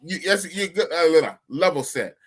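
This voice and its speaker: A man's voice: fairly high pitch, normal speed, slight background noise. A man hosting a casual live podcast chat, joking around and reading viewer comments aloud. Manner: animated, jokey, reads chat comments aloud. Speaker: podcast host.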